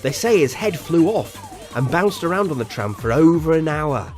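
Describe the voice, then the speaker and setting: A man's voice: on the high side, expressive, moderate pace, soft background music. A man from Halifax telling an absurd comic story in a dry, mock-serious way. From Halifax, West Yorkshire. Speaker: podcast host. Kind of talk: scripted absurd comic narration.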